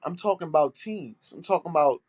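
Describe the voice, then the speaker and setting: A man's voice: on the high side, animated, fairly quick, a little mellow, slightly rough, no echo. A man with a stuffy nose, hosting a solo podcast and talking in an aggrieved, emphatic way, repeating his points and swearing. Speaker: podcast host.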